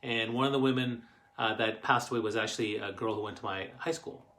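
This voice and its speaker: Middle-aged man, Canadian accent, bright forceful voice, casual storytelling, halting and rambling. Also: lowish voice, steady tone, a little hiss or hum. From Canadian Maritimes. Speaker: host of a family stories show. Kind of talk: casual personal storytelling to camera.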